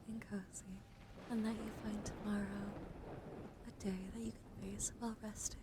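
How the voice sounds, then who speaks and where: A woman hosts an ASMR livestream in a soft, gentle voice at a medium pitch. She speaks close to the mic and often slips into whispers. Background ambient noise is clearly audible behind her. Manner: frequently drops into whispers. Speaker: livestream host. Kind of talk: soft-spoken ASMR card reading and casual stream chat.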